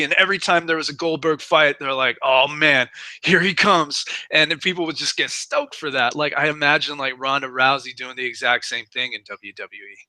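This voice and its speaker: A man's voice: medium pitch, bright, forceful tone, dry recording. A man in his thirties chatting casually and enthusiastically about sport as a guest commentator. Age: 30s. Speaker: guest commentator on a wrestling and MMA show.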